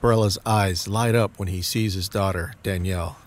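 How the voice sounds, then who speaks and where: A man's voice: sits low, fairly even intonation, normal speed, background noise. A man narrating a scripted audio drama, reading scene descriptions as the narrator.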